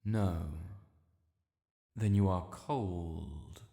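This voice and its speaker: In a long, echoing reverb, an ancient British dragon lord acted by a man addresses the listener. The voice is low and very slow, with formal, stately diction. The character is regal and stern yet protective, as in a fantasy audio drama. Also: gravelly, dark tone, heavily acted. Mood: gently concerned. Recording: echoey cavernous reverb.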